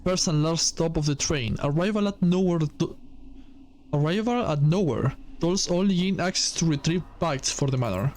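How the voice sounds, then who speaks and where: A man's voice: on the high side, lively intonation, moderate pace, darkish tone, slight background noise, natural voice. A man voicing an artificial, automaton-like figure in a visual novel, reciting formal official notices. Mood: flat, official. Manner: formal, mechanical phrasing.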